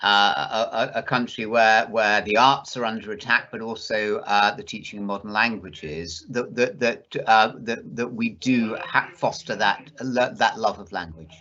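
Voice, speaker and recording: A man interviews a guest from a study at home, stumbling over repeated words and hesitations. His voice sits low but has a sharp, forceful edge, and the sound is a little muffled. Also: fairly even intonation, a little slow, few pauses. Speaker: interviewer, university vice chancellor. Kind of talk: conversational interview. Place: study in a house.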